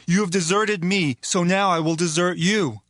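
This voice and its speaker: Casting: reproachful prophet, high-pitched, close dry sound, declamatory Bible drama style. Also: male voice, moderate pace, fairly bright tone, no echo. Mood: stern, reproachful. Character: priest's son turned stern, accusing prophet. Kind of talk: audio Bible drama acting.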